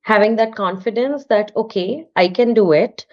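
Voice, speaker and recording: A woman's voice: medium pitch, moderate pace, muffled recording. A young woman with an Indian English accent, talking as a podcast guest in an earnest, encouraging way. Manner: earnest, encouraging, with frequent restarts. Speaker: podcast guest, artist and studio owner. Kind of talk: casual interview talk.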